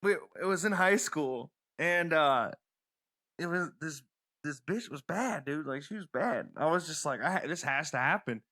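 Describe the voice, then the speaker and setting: Man, fairly high pitch, bright sharp voice, slangy animated storytelling, casual podcast co-host. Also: normal speed. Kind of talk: casual anecdotal chat.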